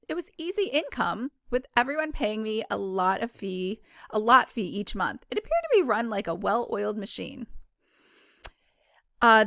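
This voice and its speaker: A woman's voice: a little high, fairly bright tone, dry recording, clean studio sound. A woman reading a first-person novel aloud in a casual, informal way, sometimes stumbling and correcting herself. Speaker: book club host reading a novel aloud.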